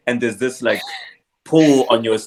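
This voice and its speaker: A man hosting an interview podcast, supportive and giving steady murmured agreement. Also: medium pitch, slow pace. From Zimbabwe. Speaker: podcast host. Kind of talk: casual interview.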